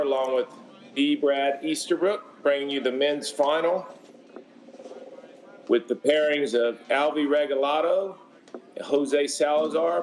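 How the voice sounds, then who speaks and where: A man, opening a sports broadcast as play-by-play commentator and reading out sponsors in a steady, formal way. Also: medium pitch, a little hiss or hum, rough recording.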